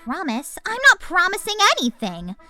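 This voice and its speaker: Woman acting an exasperated pony mother, high bright voice. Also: sing-song, normal speed, faint music behind, heavily acted. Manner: dry, incredulous, scolding. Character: exasperated, stern but loving cartoon pony mother. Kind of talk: animated fan audio drama acting.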